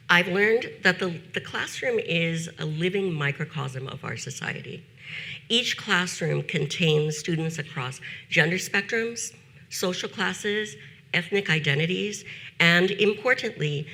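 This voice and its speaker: A woman in her fifties, a teacher, giving a prepared talk at a conference with a measured, deliberate delivery and slowed emphasis on key phrases. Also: low-pitched, bright, forceful tone. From United States.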